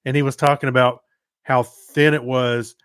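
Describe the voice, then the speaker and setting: A man co-hosting a podcast, telling anecdotes in a casual, halting, conversational way. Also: mid-range voice, little pitch movement, a bit bright, smooth, no room sound, studio quality. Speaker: podcast co-host. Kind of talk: casual chat.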